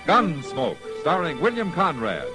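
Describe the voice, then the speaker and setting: A man's voice: on the high side, huge pitch swings, a little slow, loud music behind the voice. An American man delivering a dramatic scripted introduction as an old-time radio announcer.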